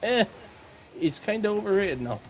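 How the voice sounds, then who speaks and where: A man's voice: sits high, big pitch swings, normal speed, slight background noise. Casual young man on a podcast. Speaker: podcast co-host. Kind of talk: casual chat.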